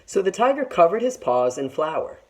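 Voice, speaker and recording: A woman with a low voice reads a children's story aloud like a teacher. Her phrasing is clear and expressive, and her pitch moves widely as she narrates and explains. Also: normal speed. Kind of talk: storybook read-aloud with short lesson asides.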